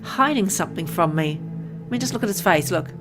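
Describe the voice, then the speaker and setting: Expressive woman reading over music. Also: lowish voice, fairly quick. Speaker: game streamer reading a visual novel aloud. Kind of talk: let's play, dramatic read-aloud with casual asides.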